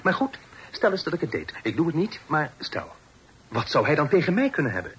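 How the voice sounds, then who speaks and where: An actor playing a witty young brother in a period radio play, bantering with mock pomposity and old-fashioned phrasing. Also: male voice, fairly high pitch, wildly swooping pitch, brisk, fairly bright tone. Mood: curious, indignant. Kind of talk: Dutch radio play acting. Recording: noisy old radio drama recording.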